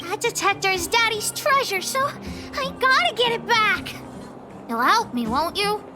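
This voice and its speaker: Brash little kid gang boss for a game, super high voice, bouncy delivery. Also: female voice, animated, normal speed, music in the background. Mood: eager, bossy. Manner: brash, childlike, third-person self-talk. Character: feisty, bossy little girl, self-styled gang leader. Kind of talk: animated video game dialogue.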